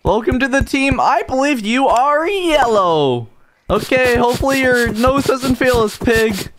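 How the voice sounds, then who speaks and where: A woman voicing an excitable orange stick figure in an amateur cartoon fan dub, searching for lost friends with casual, youthful slang and exclamations. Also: fairly high pitch, a little slow, noisy background. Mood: curious, upbeat.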